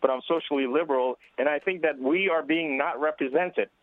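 A man's voice: fairly high pitch, no echo. Man from Syria, radio caller, tinny phone line, dry sound, earnest and hesitant. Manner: earnest, measured, with frequent hesitations. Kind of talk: call-in radio conversation.

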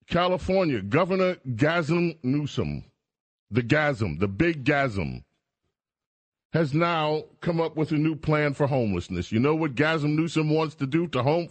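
A man's voice: on the high side, dry recording. Sarcastic radio host, man, close dry studio mic, mocking guests. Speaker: radio talk show host. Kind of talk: talk radio chat. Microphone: studio microphone.

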